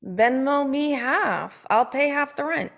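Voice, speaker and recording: A woman reading her lines at a script table read, dry and blunt, with quick comebacks. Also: sits high, lively intonation, vocal fry.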